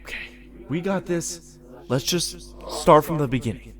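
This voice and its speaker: A man acting an amnesiac, foul-mouthed former magical fabricator in an audio drama, coaxing himself along, swinging between muttered focus and excited outbursts. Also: fairly high pitch, measured pace, natural voice. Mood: focused recollection.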